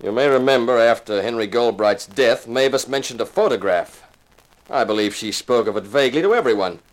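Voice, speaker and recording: A man's voice: mid-range voice, expressive, fairly quick, natural voice, poor audio. An actor playing a tough, wisecracking insurance investigator who narrates his own case in a 1950s radio crime drama, with a sardonic, commanding manner. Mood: deliberate, firm. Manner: hard-boiled, sardonic, commanding.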